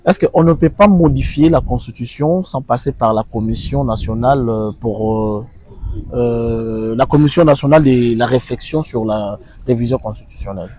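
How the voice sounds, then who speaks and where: A man's voice: medium pitch, moderate pace, warm tone, slight background noise. A man interviewing a guest, asking questions with many hesitations.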